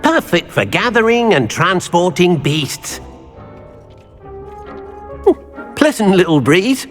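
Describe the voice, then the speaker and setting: A man's voice: big pitch swings, measured pace. An actor voicing a small, timid male house-elf servant in a video game, who talks about himself by name in an eager, fussy way. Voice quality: husky, high squeaky. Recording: game audio with faint music.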